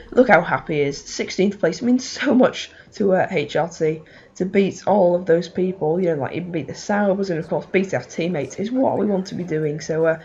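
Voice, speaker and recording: Hoarse woman commentating a racing game. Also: on the low side, muffled recording. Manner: rambling, excitable, many fillers. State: hoarse, losing voice. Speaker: gaming channel host.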